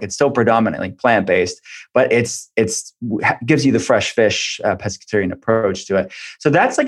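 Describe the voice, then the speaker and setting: A man speaking as an expert guest in an interview, explaining health advice informally and conversationally. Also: medium pitch. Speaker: expert guest, functional medicine practitioner and author.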